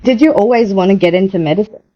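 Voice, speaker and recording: A woman hosting an interview podcast, asking questions in a measured, polished presenter manner. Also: medium pitch, muffled recording. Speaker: podcast host. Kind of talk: scripted show intro and interview questions.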